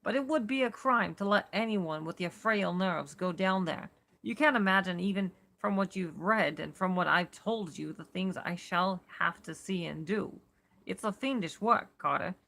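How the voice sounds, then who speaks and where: A woman voicing a calm, earnest man who tells his friend to stay behind, speaking steadily and a bit louder. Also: fairly low pitch, creaky.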